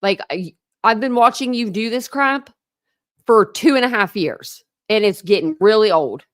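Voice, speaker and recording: A woman's voice: mid-range voice, expressive, normal speed, dry recording, studio quality. A woman giving casual reaction commentary on a played video, talking in a blunt, scornful way. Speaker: YouTube commentator reviewing another creator's livestream.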